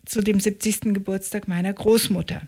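A woman's voice: medium pitch, fairly even intonation, brisk, a little mellow, husky. A middle-aged woman from Israel, a radio interview guest, recounting her life in a reflective way with frequent hesitations.

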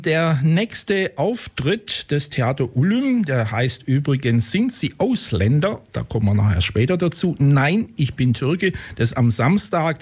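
A middle-aged or older man with a husky voice, hosting a casual radio interview in a rambling, conversational way. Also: mid-range voice, a little mellow. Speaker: radio host.

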